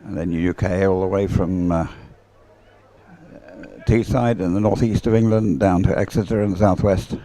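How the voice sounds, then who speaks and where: A man's voice: sits low, steady tone, moderate pace, dark tone. A man giving live race commentary in a rambling, hesitant way, as if thinking aloud.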